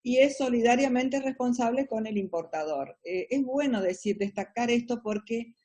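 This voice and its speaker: A middle-aged Argentine woman with an Argentine Spanish accent, answering interview questions about her business in an explanatory way, often pausing on fillers. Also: a little high, warm tone, breathy edge. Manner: explanatory, hesitant with many fillers. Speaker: businesswoman guest.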